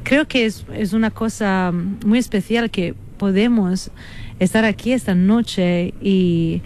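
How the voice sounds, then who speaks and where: Italian-accented woman, radio interview guest; slow, searching, earnest delivery over faint background noise. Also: medium pitch, a little mellow. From Italy. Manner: hesitant, searching for words, with many fillers and restarts; reflective and earnest. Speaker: guest musician.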